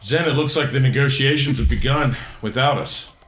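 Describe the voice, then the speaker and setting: A man's voice: mid-range voice, moderate pace, a bit bright, rough recording. A man joking and chatting casually as a player in a tabletop roleplaying game. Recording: music behind the voice.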